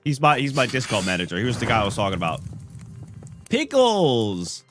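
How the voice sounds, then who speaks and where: A man's voice: medium pitch, huge pitch swings, brisk, a bit bright, soft background music. A man with a Danish accent, joking and rambling to his chat while he plays a game, a little under the weather. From Denmark. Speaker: streamer. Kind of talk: live-stream chat while playing a game.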